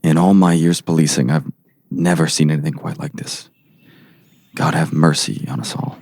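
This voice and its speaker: A man acting a gruff, skeptical middle-aged sheriff in an audio drama, exasperated and pressing. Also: a bass voice, steady tone, normal speed, a little mellow, dry recording, exaggerated voice. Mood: grave, concerned. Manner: exasperated, sarcastic, pressing interrogator.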